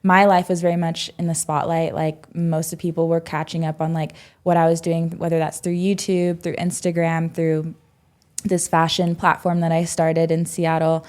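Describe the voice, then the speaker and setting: A woman's voice: low-pitched, level tone, normal speed, darkish tone. A woman in her twenties, a podcast guest telling her story reflectively, with many "um"s and "like"s. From United States. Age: 20s. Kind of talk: casual podcast interview.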